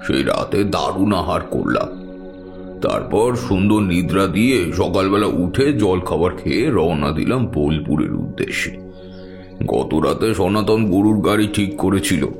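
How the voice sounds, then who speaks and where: Deep, unhurried male story narrator. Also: sing-song, creaky, over music. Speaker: first-person story narrator. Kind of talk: dramatic scripted audio story narration.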